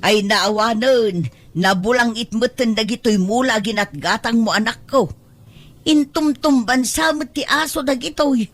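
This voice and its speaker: A woman's voice: fairly high pitch, unhurried, faint music behind. A woman playing a fretful, thrifty mother of a grown daughter in an audio drama, scolding as she goes. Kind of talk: Ilocano audio drama dialogue.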